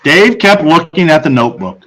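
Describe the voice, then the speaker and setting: A man with a fairly high voice narrates a children's book. His reading is expressive and dramatic, and he sets off phrases with deliberate pauses. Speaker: audiobook narrator.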